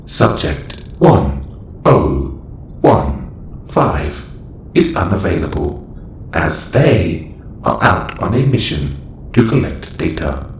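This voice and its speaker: A man's voice: low voice, sing-song, takes it slowly, long pauses, exaggerated voice. A man playing a formal, clinical caretaker robot in a sci-fi audio roleplay, speaking in stilted, precise phrases. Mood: clinical, instructive. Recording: roomy echo, faint background noise.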